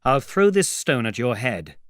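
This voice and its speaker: Man as a stern, measured invisible man. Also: medium pitch, sing-song, a bit bright, no room sound, studio quality, voice pushed up. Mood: firm, insistent. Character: unseen invisible man, stern, impatient, commanding.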